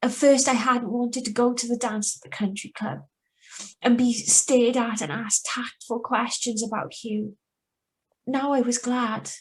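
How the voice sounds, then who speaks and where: A woman's voice: fairly high pitch, steady tone, unhurried, natural voice, poor audio. An actress playing an anguished, guilt-ridden young woman with a secret in a live radio drama reading. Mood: wistful.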